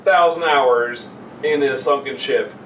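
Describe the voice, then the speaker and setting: A man's voice: mid-range voice, takes it slowly, crowd noise. A young man bantering casually as a podcast co-host, with a sarcastic, crude way of talking. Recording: roomy, distant mic, clear background noise.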